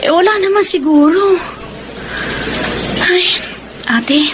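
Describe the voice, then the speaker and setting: A woman's voice: very high voice, slow pace, noisy background. A woman with a Filipino accent playing a patient, lonely wife and reassuring mother in a radio drama. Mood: hesitant, trusting. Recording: radio drama with loud music underneath.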